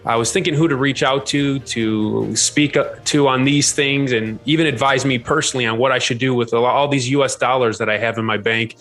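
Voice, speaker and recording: A man's voice: mid-range voice, fairly even intonation, fairly quick, hardly any pauses, fairly bright tone, soft background music. A man hosting an interview show, welcoming his guest and asking questions in a friendly, conversational way. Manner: friendly, conversational, some fillers. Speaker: podcast host.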